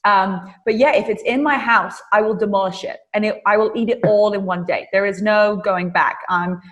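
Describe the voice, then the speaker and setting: A woman's voice: mid-range voice, steady tone, fairly bright tone. Upbeat British woman hosting a podcast. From Britain. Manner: upbeat and enthusiastic, quick to agree. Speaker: podcast host. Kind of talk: casual interview chat.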